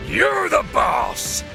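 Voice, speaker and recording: Manic, gravelly, cackling speed demon. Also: male voice, very high voice, exaggerated voice. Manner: theatrical, taunting, cackling, drawn-out words. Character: cackling, battle-crazed male demon speed racer.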